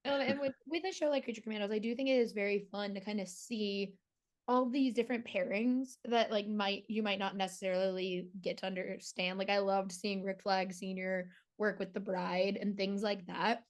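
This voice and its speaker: A woman's voice: mid-range voice, steady tone, moderate pace. A woman interviewing in a casual, chatty style with the enthusiasm of a fan. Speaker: entertainment interviewer.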